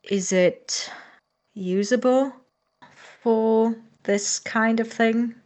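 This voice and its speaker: A man's voice: very high voice, very slow, a little mellow, full character voice. A man playing an anxious, sarcastic young medical student, a reluctant accomplice, in a naturalistic audio drama. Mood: uneasy, factual. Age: young adult. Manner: sarcastic, exasperated.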